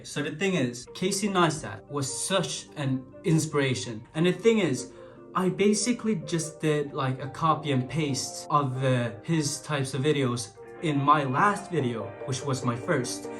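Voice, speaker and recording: A man's voice: medium pitch, a bit bright. Hesitant Swedish-accented man over music. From Sweden. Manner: hesitant, many restarts and filler likes. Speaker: vlogger. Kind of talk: reflective casual monologue. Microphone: built-in camera mic after external mic died. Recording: talk over clear background music.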